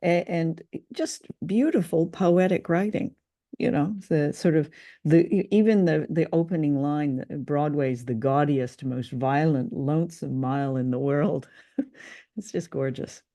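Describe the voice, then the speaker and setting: A woman with a dark, mellow voice, pitched fairly low, co-hosts a relaxed review conversation. She is enthusiastic and generous, gushing about what she discusses. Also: a little slow, dry recording, clean studio sound. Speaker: podcast co-host. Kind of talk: casual review chat about old-time radio drama.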